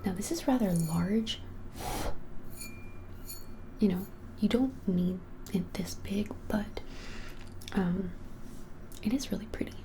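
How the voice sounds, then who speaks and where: A woman in her twenties whispering softly and without hurry in an ASMR roleplay as a shop keeper, often hesitating. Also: mid-range voice, background noise. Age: 20s. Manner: soft whispering, hesitant and unhurried. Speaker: ASMR creator playing a witch shop keeper. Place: small plain-walled room, indoors.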